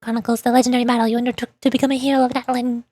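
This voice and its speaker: A woman's voice: a little high, little pitch movement, rapid-fire, mellow, dry recording. A woman VTuber giving playful game commentary and reading on-screen text aloud. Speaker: VTuber streamer.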